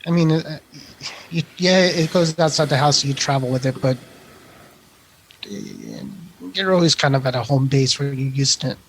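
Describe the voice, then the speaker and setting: A man co-hosting a podcast in casual tech chat, with a halting, wry way of talking. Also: medium pitch, very animated, a little hiss or hum, low-quality recording. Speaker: podcast co-host.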